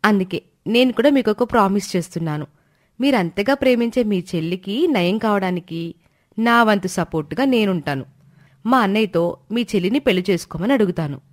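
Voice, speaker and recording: A woman voicing a sincere, supportive young bride-to-be in an animated moral story, reasoning gently and earnestly. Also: mid-range voice, brisk, close to an everyday voice. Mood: earnest, reassuring.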